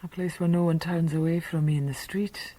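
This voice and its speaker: A woman's voice: lowish voice, fairly even intonation, fairly quick, mellow, no room sound, close to an everyday voice, low-quality recording. A woman playing a brooding, bitter mother's inner voice in a literary radio drama, speaking in incantatory fragments. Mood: bitter, weary. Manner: clipped fragments, incantatory listing.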